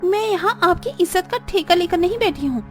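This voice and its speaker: A woman voicing a spoiled, defiant young daughter-in-law in a cartoon story, whiny and quick to talk back. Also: very high voice, expressive, soft background music, pitched above the performer's own voice. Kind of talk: Hindi cartoon story voice acting.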